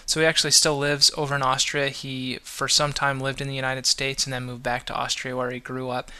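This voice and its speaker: A man's voice: medium pitch, completely flat delivery, fairly quick, sharp tone, smooth. A man hosting a podcast, talking casually and loosely, with many restarts and fillers. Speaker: podcast host.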